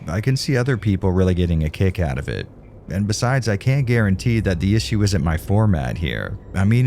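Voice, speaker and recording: A man with a low, mellow voice talks through a game review voice-over. His delivery is casual and candid, with a dry, wry touch, and a faint hiss sits under the recording.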